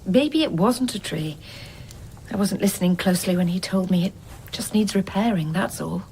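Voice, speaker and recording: A woman's voice: mid-range voice, moderate pace, darkish tone, close to an everyday voice, rough recording. An actress playing a dutiful, guarded wife who earnestly believes in her society, in an audio drama. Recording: clear background noise.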